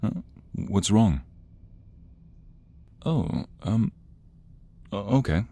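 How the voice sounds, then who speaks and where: A man's voice: fairly low pitch, lively intonation, very slow, dark tone, full character voice. A man playing a protective, troubled mobster who speaks earnestly and tenderly to his lover in an ASMR roleplay. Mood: tender, weary.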